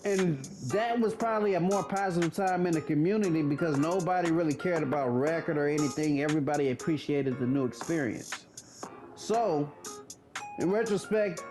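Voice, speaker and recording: Thirty-year-old man, high voice, casual blunt gamer commentary over music. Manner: laid-back, blunt, swears casually. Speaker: gaming commentator.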